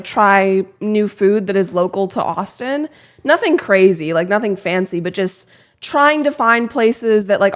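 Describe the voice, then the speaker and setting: A young woman streamer chatting casually, self-deprecating and fussing with her microphone setup. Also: medium pitch. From lives in Texas. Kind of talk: casual live-stream chat.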